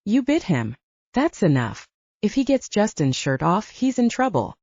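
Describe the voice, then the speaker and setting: A woman's voice: medium pitch, sing-song, measured pace, darkish tone. A woman voicing a man who reports and warns as a matter-of-fact investigator ally in an audiobook. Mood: warning. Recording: roomy, dull lo-fi audio.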